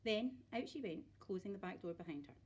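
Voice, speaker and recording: Animated woman reading a children's story. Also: on the low side, fairly quick, husky. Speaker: teacher reading aloud. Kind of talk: expressive children's story narration.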